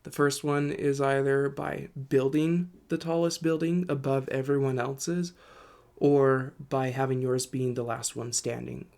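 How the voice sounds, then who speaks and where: A man's voice: medium pitch, little pitch movement, a little slow, creaky, a little mellow. A young man hosting his own channel, talking casually while congested and tired from illness, rambling and thinking aloud as he goes. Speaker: host. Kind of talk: casual solo talk to camera.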